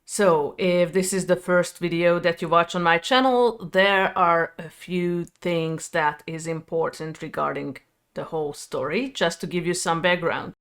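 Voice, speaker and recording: Video creator, female, thirties, Hungarian accent. Unhurried, smooth delivery for reflective personal storytelling. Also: fairly low pitch, steady tone. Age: 30s.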